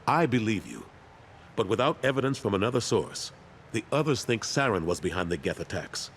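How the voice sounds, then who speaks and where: A man's voice: lowish voice, animated, a little fast, slight background noise. An actor playing a firm, plain-spoken older military man turned politician in a video game. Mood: calm, frank. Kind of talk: video game dialogue acting.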